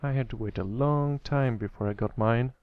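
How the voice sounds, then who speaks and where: A kindly older brother pony, voiced by a man reading aloud, talks in a medium-pitched, relaxed voice. He is encouraging and easygoing, with a dry, close sound. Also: moderate pace, no echo, phone-quality sound, lightly acted. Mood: reminiscing.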